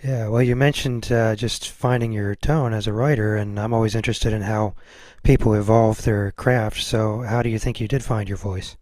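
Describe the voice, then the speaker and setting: A man's voice: on the low side, fairly even intonation, normal speed, a little mellow, no echo. A man hosting a podcast, interviewing a guest in a casual, conversational way.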